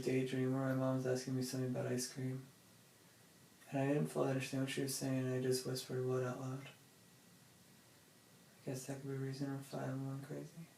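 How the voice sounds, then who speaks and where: A young adult man recording a casual, rambling audio diary, musing in a halting, hesitant way. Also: medium pitch, creaky, warm tone. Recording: very echoey room, faint noise.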